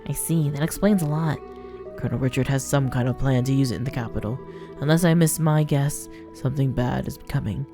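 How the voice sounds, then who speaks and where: A woman voicing a grave old male professor from a fantasy game in a measured, formal manner. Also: rumbling low, music in the background.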